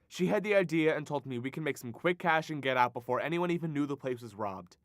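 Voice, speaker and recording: A man's voice: fairly high pitch, expressive, fast talker, dry recording, studio quality. A man playing an evasive, self-serving bank robber in a radio drama, nervous and pleading. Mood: confessing. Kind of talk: noir radio drama acting.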